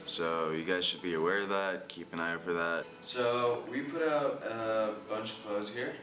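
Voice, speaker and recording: Young man from Syria, very deep voice, friendly advice-giver; roomy distant-mic sound with background music. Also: steady tone, moderate pace, bright, forceful tone, slight background noise. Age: young adult. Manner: friendly, advising, addresses viewers as guys. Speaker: international student. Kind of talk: casual informational video.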